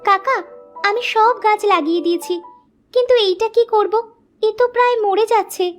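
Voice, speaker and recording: A woman acting as a young village girl in a cartoon fable. Her voice is extremely high and childlike, sweet and earnest. She comes across as dutiful and hardworking, with light music underneath. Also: normal speed. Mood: polite. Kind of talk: cartoon moral story voice acting.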